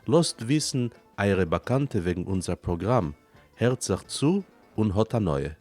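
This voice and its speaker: A man reading a scripted promo for a radio program in a steady, listing delivery. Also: on the low side, a little slow, faint music behind. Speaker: station announcer.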